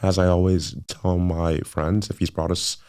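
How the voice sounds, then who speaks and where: A young man with a deep, dark and relaxed voice speaks as a guest in a conversational interview. He is reflective and earnest, choosing his words in a measured, halting way. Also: smooth. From South Florida, born in Jamaica. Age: young adult. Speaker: podcast guest, medical student.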